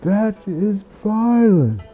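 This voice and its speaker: A person telling a reflective bedtime story in a slow, halting, musing way, often repeating words. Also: mid-range voice, big pitch swings, phone-quality sound, soft background music.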